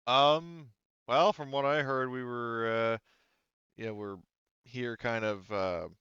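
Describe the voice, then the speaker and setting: A man playing a tabletop roleplaying game, casually recapping and narrating in a halting, think-aloud way. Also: medium pitch, fairly even intonation, fairly bright tone, clean tone, no echo, lo-fi sound.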